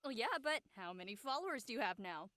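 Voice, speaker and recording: A woman playing a breezy, cheeky, carefree high-school student in an amateur script reading. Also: very animated, fast, a bit bright. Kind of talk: amateur audio drama table read.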